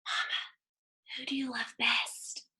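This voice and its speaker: A woman voicing an eager young storybook boy, breathy, seeking his mother's favour. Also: fairly high pitch, flat delivery, slow, bright, forceful tone, whispered, heavily acted. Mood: whispered, hopeful.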